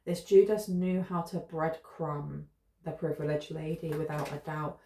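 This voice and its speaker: A woman's voice: low-pitched, fairly even intonation, unhurried, dark tone, slight background noise. A British woman giving a casual tarot reading to camera, thinking aloud in a meandering, musing way with fillers. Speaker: tarot reader. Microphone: camera close in front. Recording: echoey room.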